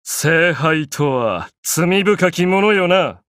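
An actor playing an arrogant, grandiose young pharaoh in an anime game, declaiming in an imperious, pompous way. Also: male voice, on the high side, lively intonation, extremely slow, a bit bright, dry recording, studio quality, full character voice. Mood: grand, haughty.

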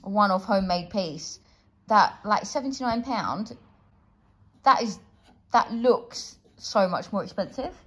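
A woman's voice: mid-range voice, animated, unhurried, a bit bright. An enthusiastic woman in her thirties chatting casually for a home vlog, recorded indoors on a handheld device. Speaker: vlogger. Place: living room and kitchen at home, indoors. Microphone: handheld phone or camera, near.

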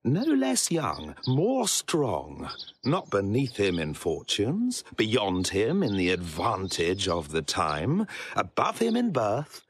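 Pompous, low-voiced young prince. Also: male voice, wildly swooping pitch, breathy edge, dry recording, studio quality, exaggerated voice. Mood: smug, gloating. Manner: pompous, halting, chuckling to himself. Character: vain, boastful, vengeful young prince in disguise. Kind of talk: theatrical Shakespearean soliloquy.

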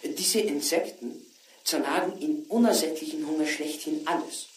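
An actor playing a learned professor giving measured, lecturing answers in a radio interview within a German drama. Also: medium pitch, natural voice. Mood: grave, measured. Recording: distant, echoey, hissy radio broadcast.

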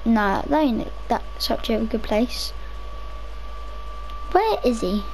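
A young woman chatting casually over her own gameplay, rambling to herself, her voice a little rough from a cough. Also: on the high side, big pitch swings, slow pace, darkish tone, a little hiss or hum, rough recording. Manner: chatty, rambling, self-talking. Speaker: gaming video creator.

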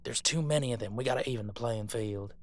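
A man playing a gruff, scornful veteran monster hunter in an audio roleplay, folksy and bossy. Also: mid-range voice, fast, close to an everyday voice. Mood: irritated.

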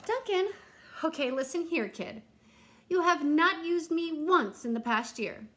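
A woman's voice: very high voice, lively intonation, measured pace, fairly bright tone, voice pushed up, heavily acted. A woman voicing a sassy, affronted pink crayon from a storybook, bossy and pointed.

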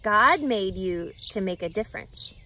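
A woman's voice: medium pitch, animated, a little slow, background noise, pitched below the performer's own voice, close to an everyday voice. A woman voicing a kindly young man who mentors a boy, earnest and encouraging, in a storybook read-aloud.

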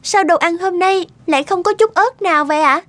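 A polite, gentle young woman in a moral-tale cartoon. Her voice sits high, with faint music behind it. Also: a little fast, no echo. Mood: polite, hesitant. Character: polite young women: gentle daughter-in-law, dutiful care worker. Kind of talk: animated moral-tale voice acting.

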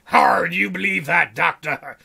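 A man's voice: a little high, normal speed, vocal fry, bright, forceful tone, husky, full character voice. A man voicing a sly, teasing pirate captor in a visual novel, with a stock pirate drawl. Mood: sly, probing.

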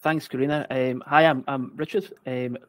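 A man in his forties speaking as a webinar guest over a video call on a headset, halting, with many ums and repeated words. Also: mid-range voice, steady tone, no room sound. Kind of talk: casual webinar chat.

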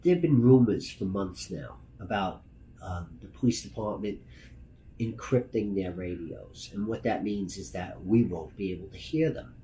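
A man's voice: low-pitched, moderate pace, warm tone, slight background noise, low-quality recording. A man in his fifties with a New York accent, giving an emphatic, plain-spoken documentary interview as a veteran news photographer. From Brooklyn, New York. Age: 50s. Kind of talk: documentary interview, personal account. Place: meeting room indoors.

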